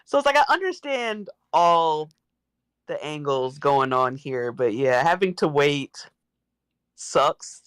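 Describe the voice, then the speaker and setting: A woman's voice: low-pitched, lively intonation, slow pace, clear, resonant tone, dry recording. Woman guest thinking aloud, dry sound. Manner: hesitant, thinking aloud, self-quoting. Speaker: guest, writer and fan. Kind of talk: casual chat.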